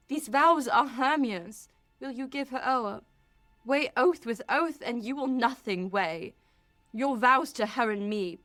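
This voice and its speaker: A teenage woman playing a hurt, distrustful young lover, speaking deliberate verse in an amateur Shakespeare radio play. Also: on the high side, a bit bright, dry recording. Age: late teens.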